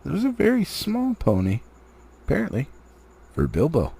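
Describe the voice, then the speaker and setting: A man's voice: fairly high pitch, huge pitch swings, moderate pace, creaky, dark tone, slightly rough. A man reading a fantasy novel aloud expressively, like an amateur audiobook, with the odd stumble. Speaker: amateur storybook reader.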